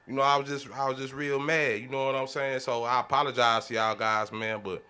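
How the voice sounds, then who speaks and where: A man's voice: mid-range voice, little pitch movement, brisk, bright, forceful tone, smooth. A man on a podcast panel talking casually and emphatically, in slangy, repetitive phrasing. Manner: emphatic, colloquial, repeats himself. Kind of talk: casual heated discussion.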